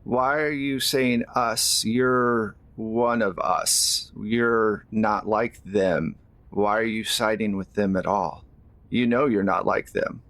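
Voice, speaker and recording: A man playing an embittered fairy in a tabletop game, grave, deliberate and resentful of humans. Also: lowish voice, steady tone, fairly bright tone, no room sound. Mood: hurt, accusing.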